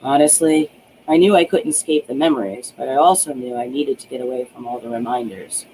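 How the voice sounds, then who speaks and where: A man's voice: medium pitch, fairly even intonation, brisk, dark tone, close to an everyday voice. Guy reading as tired ex-cop hero in an audiobook, protective, sardonic, plain talking, bit noisy. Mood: weary, candid. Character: world-weary male ex-cop guard, protective, sardonic.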